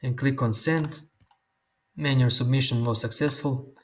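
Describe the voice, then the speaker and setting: Man explaining software steps. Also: mid-range voice, fairly even intonation. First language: Croatian. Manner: slow, step-by-step instructions. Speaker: tutorial host. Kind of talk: screen-recorded software tutorial.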